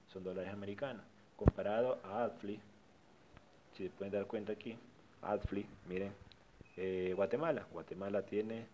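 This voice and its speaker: A man's voice: lowish voice, background noise. A man casually narrating a screen-recorded walkthrough of a website, often repeating and restarting his phrases. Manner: repetitive, restarts phrases while pointing things out. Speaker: tutorial vlogger.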